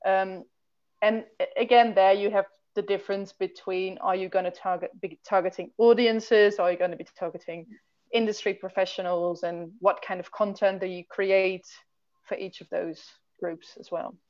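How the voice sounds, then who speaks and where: A woman explains her points fluently as a panelist on an online panel. The video call audio is slightly dull, and her pitch sits mid-range. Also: steady tone, moderate pace, darkish tone.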